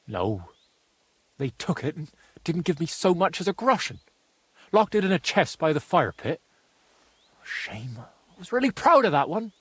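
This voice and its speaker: A naive young apprentice from a medieval video game. His voice is high and husky, with lively swings of pitch. He talks artlessly and falters when pressed. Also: a little slow, long pauses, dry recording, exaggerated voice. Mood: sheepish. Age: young adult. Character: naive, simple-hearted young craftsman's apprentice. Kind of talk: video game dialogue acting.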